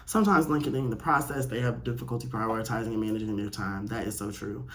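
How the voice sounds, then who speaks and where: A man's voice: lowish voice, fast talker, a little hiss or hum. A man hosting a casual solo podcast, reading aloud and reacting in a candid, sassy way with playful swearing. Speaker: podcast host. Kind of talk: casual chat reacting to a quiz read aloud.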